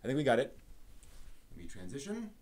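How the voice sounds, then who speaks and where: Man casually hosting a halting live stream. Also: mid-range voice, wildly swooping pitch, darkish tone. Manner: halting, thinking aloud.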